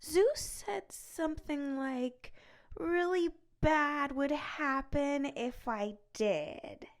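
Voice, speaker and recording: A young woman playing a naive, easily tempted girl of Greek myth, hesitant and drawn-out in delivery. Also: high-pitched, full character voice. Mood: wistful. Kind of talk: amateur audio drama acting.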